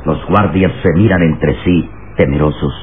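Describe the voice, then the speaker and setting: An actor as the grave storyteller, giving dramatic scripted narration for a radio adventure serial. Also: male voice, very deep voice, a little slow, slight background noise. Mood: suspenseful. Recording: tinny old radio recording.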